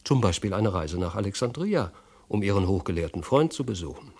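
A man reads the part of a learned slave tutor from a historical novel. The voice is on the low side, casual in delivery, with philosophical musing and fine, quiet irony. It is a literary audiobook reading. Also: expressive, brisk, husky. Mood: musing, ironic. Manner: casual, finely ironic.

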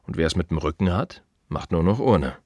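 Deep-voiced, deliberate gravedigger. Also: male voice, lively intonation, darkish tone, no room sound. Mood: solemn, deliberate. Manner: measured, deliberate, short clipped phrases with pauses. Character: male gravedigger and cemetery gardener, earnest, proud, reflective. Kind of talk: radio play monologue.

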